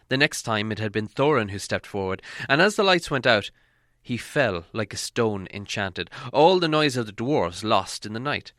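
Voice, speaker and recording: A man narrating a fantasy storybook as an audiobook reader, with measured, dramatic delivery. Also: on the low side, bright, forceful tone, no echo, studio quality.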